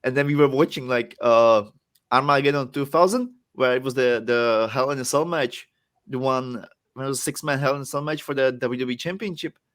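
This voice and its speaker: A man's voice: mid-range voice, a little fast, fairly bright tone. Young Czech-accented man, casual guest, loose rambling delivery, self-mocking. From Czech Republic. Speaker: guest wrestler. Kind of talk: casual podcast chat.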